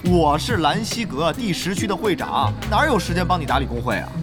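A flustered young gamer boss, exasperated, sputtery, lively pitch, music behind him. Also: male voice, fairly quick. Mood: flustered, indignant. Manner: spluttering, exasperated. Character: flustered, reluctant young male guild leader, indignant and self-doubting. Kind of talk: audio drama acting. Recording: studio drama with music behind.

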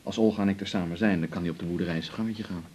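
A man's voice: low voice, steady tone, very fast, a little mellow, lightly acted. An actor playing a helpful, dryly teasing man in a naturalistic radio drama. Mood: helpful, easygoing. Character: helpful, dryly teasing male companion of a doctor. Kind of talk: naturalistic 1980s Dutch radio drama acting. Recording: noisy 1980s radio recording.

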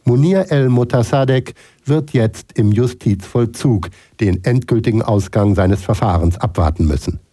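A man's voice: mid-range voice, normal speed, few pauses, mellow. A man with a standard German accent from Germany, reading a scripted report as a radio news correspondent.

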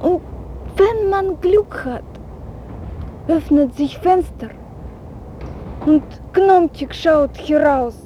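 A woman playing a dreamy young Russian woman with a Russian accent in a radio drama, speaking in simple, halting phrases. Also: sits high, expressive, dull audio, slight background noise, full character voice.

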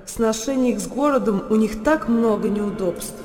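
An actress playing a pensive storyteller who laments human frailty, reciting in a measured, declamatory radio drama style. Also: female voice, a little high, darkish tone, natural voice. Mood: pensive, lamenting. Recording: reverberant hall with faint music.

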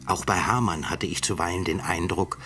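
A man's voice: deep voice, normal speed, music in the background, close to an everyday voice. An actor playing a grave male scholar reading his own essay in a radio drama, formal and rhetorical. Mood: grave, reflective.

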